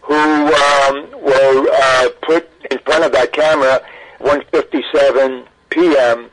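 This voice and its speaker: A mature man speaking as an interview guest, in a halting, reflective, explanatory way. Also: mid-range voice, fairly even intonation, a bit bright, rough recording. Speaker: audio archivist guest. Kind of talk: interview recollection.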